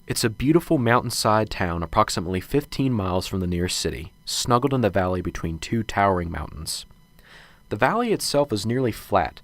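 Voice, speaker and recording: A man with a fairly low voice narrates a radio drama. He reads scripted, scene-setting description. The recording is dry, with no room around him. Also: dry recording.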